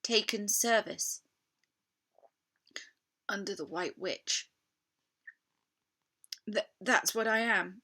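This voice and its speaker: A woman's voice: mid-range voice, extremely slow, long pauses, pushed and bright, pitched above the performer's own voice, full character voice. A woman voicing an anxious, guilt-ridden, tearful male fawn in a storybook, with a stammering, dramatic delivery. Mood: wretched confession.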